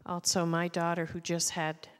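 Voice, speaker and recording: A middle-aged woman giving personal testimony on a panel, plain-spoken and halting. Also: on the low side, monotone, a little hiss or hum. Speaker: panelist, bereaved mother of a soldier. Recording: reverberant hall sound.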